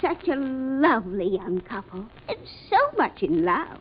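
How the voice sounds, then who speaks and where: Gushing minister's wife, lilting and high. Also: female voice, very animated, measured pace, slightly rough, heavily acted. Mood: gushing, sentimental. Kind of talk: 1940s radio drama acting. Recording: dull, noisy old radio recording.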